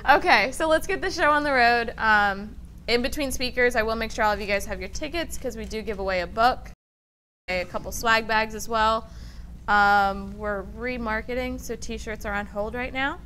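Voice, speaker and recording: A woman's voice: fairly high pitch, normal speed, slight background noise. Upbeat woman hosting a tech meetup. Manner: upbeat and chatty, says she has a loud voice. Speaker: meetup organizer and host. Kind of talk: casual announcements. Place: meeting room with an audience.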